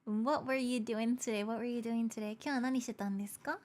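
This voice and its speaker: Young female streamer, hoarse from overuse, raised pitch, chatty and upbeat. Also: steady tone, quick pace, darkish tone. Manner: bubbly and chatty, greets viewers, frequent giggles. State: hoarse, losing her voice. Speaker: VTuber streamer. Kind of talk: casual livestream chat, switching between English and Japanese.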